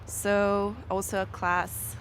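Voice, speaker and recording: A woman student giving a measured answer in a street interview. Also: medium pitch, little pitch movement, fairly bright tone, slight background noise.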